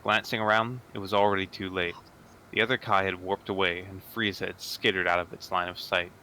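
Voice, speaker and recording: A man reading dramatic story narration in a formal, measured storytelling manner. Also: low-pitched, little pitch movement, sharp tone, a little hiss or hum, rough recording.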